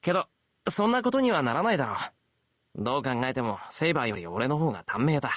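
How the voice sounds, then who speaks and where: A man's voice: medium pitch, sing-song, very fast, a bit bright, no room sound, studio quality. An actor playing an earnest, kind teenage boy in a Japanese visual novel, frank and understated. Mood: frank, resigned.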